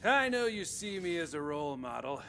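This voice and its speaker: An actor playing a self-assured, slightly dim player in a fantasy video game. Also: male voice, on the high side, sing-song, moderate pace, creaky, bright, forceful tone, slight background noise, exaggerated voice. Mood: smug.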